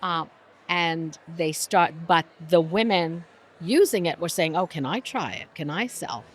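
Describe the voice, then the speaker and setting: A woman's voice: lowish voice, lively intonation, unhurried. An older woman from Romania, a guest talking casually in an interview, chatty and often laughing. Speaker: guest, business owner.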